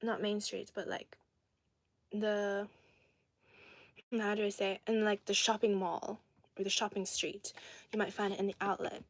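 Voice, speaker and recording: A woman's voice: mid-range voice, little pitch movement, measured pace, pauses often, no room sound. A young woman from Ireland hosting a casual livestream, reading viewer comments aloud and answering them in a chatty, giggly way. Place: indoor home setting in Adelaide.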